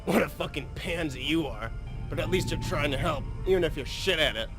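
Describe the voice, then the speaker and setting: Man as an injured game athlete, profane, aggrieved, fairly high voice, music underneath. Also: animated, fairly quick. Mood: bitter, grudging. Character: foul-mouthed, bitter injured young male athlete. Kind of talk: video game voice acting.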